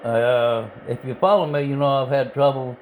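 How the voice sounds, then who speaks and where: A man giving an informal hands-on tutorial in a halting, plain-spoken, self-deprecating way, recorded indoors on a handheld device. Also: medium pitch, steady tone, phone-quality sound. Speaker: hobbyist video tutorial presenter. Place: indoors at a home radio bench. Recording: clear background noise, room ambience.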